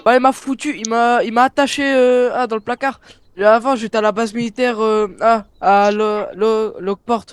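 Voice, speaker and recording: A man with an extremely high voice improvises in a game roleplay. He sounds hesitant and clownish, often pleading. The sound is close and dry. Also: fairly even intonation, moderate pace, smooth, no room sound. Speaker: gamer playing a stranded police officer.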